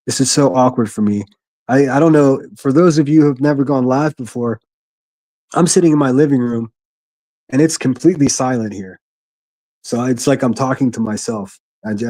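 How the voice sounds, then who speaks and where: A man with a gruff voice hosting a solo livestream from his quiet living room, reading chat and speaking bluntly with frequent restarts. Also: medium pitch, no room sound. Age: adult, a military veteran. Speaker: livestream host.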